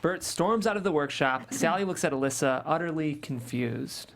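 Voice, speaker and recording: A reader calmly reading stage directions at a script table read. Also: mid-range voice, moderate pace, gravelly, slight background noise.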